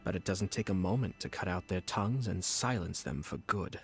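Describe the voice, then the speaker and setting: Brief: a smooth-spoken noble commissioner, low voice, measured, faint music under him. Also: male voice. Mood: cool. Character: composed noble male commissioner, calm and quietly menacing. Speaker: clan commissioner. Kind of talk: game voice acting.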